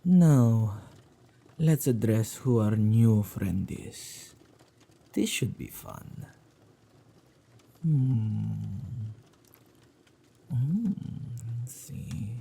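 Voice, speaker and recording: A man playing a playful, possessive male witch in an ASMR roleplay, with a husky, dark voice and a teasing, theatrical, musing manner. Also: on the low side, animated, very slow, long pauses, a little hiss or hum, heavily acted.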